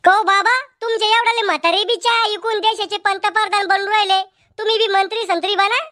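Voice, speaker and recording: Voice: very high voice, fairly even intonation, brisk, sharp tone. A performer voicing an argumentative, sarcastic village family member in a comedy cartoon. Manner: bickering, sarcastic, blunt. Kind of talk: Marathi comedy cartoon dialogue.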